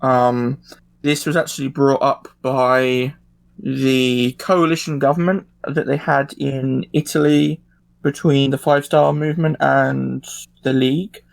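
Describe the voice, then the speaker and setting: A man on a casual podcast panel, explaining things in a halting, tentative way. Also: medium pitch, fairly even intonation, gravelly. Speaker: discussion panelist.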